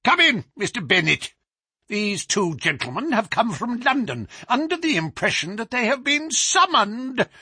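A man voicing an imperious, malicious old professor with sharp, sneering questions in a storybook reading. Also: sing-song, unhurried, breathy edge, dry recording, clean studio sound, exaggerated voice. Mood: sharp, accusing. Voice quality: high, screaming when roused. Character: malicious old male professor, imperious, erupting into screaming rage. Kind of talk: dramatised audiobook reading.

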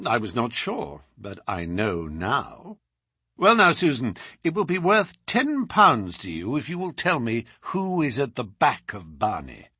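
A man's voice: medium pitch, huge pitch swings, a little slow, sharp tone, slightly rough, no room sound, studio quality, full character voice. A man playing a shrewd detective in an audiobook, teasingly polite and commanding, with precise, probing questions.

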